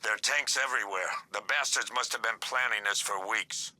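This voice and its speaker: A man's voice: fairly low pitch, a little fast, pushed and bright, dry recording. A man playing a dogged, earnest older police commissioner in a video game. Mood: angry, weary. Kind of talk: video game cutscene acting.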